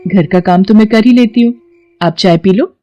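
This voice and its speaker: A woman's voice: medium pitch, moderate pace, dull audio, close to an everyday voice. A woman performs a young female witch from an audio horror tale. She poses as a meek, polite bride and can turn threatening. Faint music plays underneath. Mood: polite, composed. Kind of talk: dramatised Hindi horror story acting.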